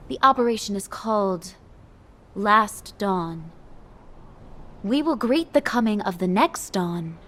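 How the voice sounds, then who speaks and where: An actress playing a devoted female military tactical adjutant in a video game, speaking sincerely, formally and with resolve. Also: on the high side. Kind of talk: video game voice acting, mission briefing.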